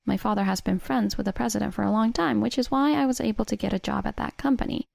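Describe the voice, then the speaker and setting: A woman reading a scripted first-person story in a measured way as its narrator. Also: medium pitch, vocal fry, a little mellow, no room sound.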